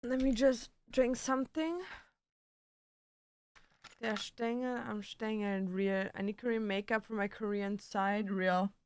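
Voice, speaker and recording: A woman's voice: mid-range voice, fairly even intonation, unhurried, a little mellow, a little hiss or hum. A woman livestreamer chatting casually and answering viewers, with a slangy, blunt and playfully sweary way of talking. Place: indoor home streaming setup.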